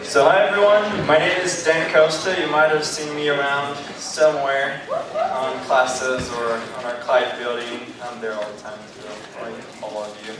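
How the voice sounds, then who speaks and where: A man's voice: mid-range voice, little pitch movement, normal speed, crowd noise. A young man from Brazil with a Brazilian Portuguese accent, Portuguese his first language, giving a joking but earnest student campaign speech in a lecture room. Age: young adult. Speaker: student club candidate. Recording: echoey room, mic far off, noisy.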